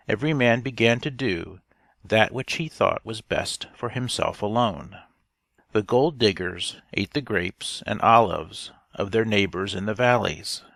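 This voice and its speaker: Slow, gravelly, low-voiced male storyteller. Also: a bit bright, no echo, studio quality. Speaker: audiobook reader. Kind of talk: scripted storybook narration.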